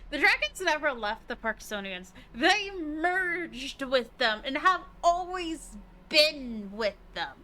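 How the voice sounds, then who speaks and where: A woman's voice: sits high, sing-song, slow pace, few pauses, pushed and bright. A woman livestreamer giving casual live game commentary, gushing and giggly as she thinks aloud.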